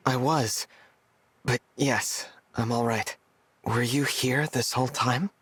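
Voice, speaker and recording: Earnest young hero, husky and close. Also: male voice, medium pitch, steady tone, takes it slowly, fairly bright tone, dry recording. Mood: uneasy, sincere. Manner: hesitant, apologetic, sincere. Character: earnest, soft-hearted young amnesiac dragon hero. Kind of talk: scripted video game voice acting.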